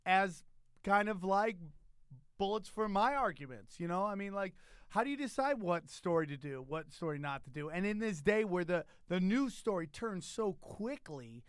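A comedian hosting a casual interview, rambling and joking loosely with some swearing. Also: male voice, high-pitched, animated, normal speed, clear, resonant tone, no room sound, clean studio sound. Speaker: podcast host, stand-up comedian.

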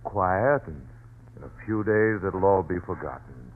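A composed family doctor who speaks in a low, reassuring tone. His voice is lively in pitch, in measured old-time radio delivery. The old broadcast sound is thin, with faint background hiss. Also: heavily acted. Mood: soothing. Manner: steady and reassuring. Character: calm, soothing family doctor. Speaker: actor in radio drama. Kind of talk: 1940s radio acting. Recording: tinny old radio recording.

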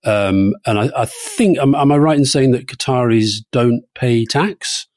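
A man co-hosts a relaxed political podcast in a chatty, teasing way. He stumbles over repeated words while he thinks. His voice sits at a middle pitch, and the recording is dry and close. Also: measured pace, no echo. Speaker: podcast co-host. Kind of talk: casual political chat.

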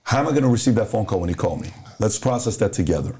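Middle-aged man from Iran, relaxed warm voice, casual podcast guest who plays out both sides of a story. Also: lowish voice, very fast. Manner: animated storyteller who acts out dialogue.

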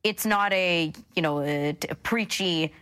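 Female radio co-host, lower register, casual and thoughtful delivery. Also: measured pace, a bit bright, clean tone. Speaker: talk show co-host. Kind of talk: casual radio panel discussion.

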